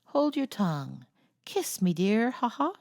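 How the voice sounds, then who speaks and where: A woman voicing a cheeky, bossy old male parrot with a croaking squawk in a storybook reading. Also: mid-range voice, very animated, measured pace, darkish tone, no echo, studio quality, voice pushed up.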